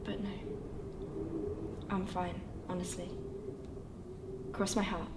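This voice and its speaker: A woman's voice: on the low side, little pitch movement, slow, recorded from a distance, very noisy. A mother , played by an actress keeps up a casual front in a drama scene. She sounds deflecting and reassuring, with noticeable room echo. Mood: guarded reassurance. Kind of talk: screen drama acting. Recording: roomy, echoing room sound.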